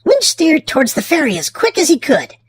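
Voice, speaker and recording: High-pitched woman, children's storybook narrator, theatrical emphasis, swooping intonation. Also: huge pitch swings, fairly quick. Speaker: children's storybook reader and channel host. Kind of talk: expressive read-aloud narration for young children.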